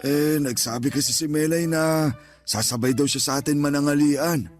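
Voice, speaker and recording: Guy acting as shy middle-aged dad in love, kinda high voice, chatty radio drama, faint music behind. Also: fairly even intonation, moderate pace, close to an everyday voice. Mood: eager. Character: bashful, smitten middle-aged father.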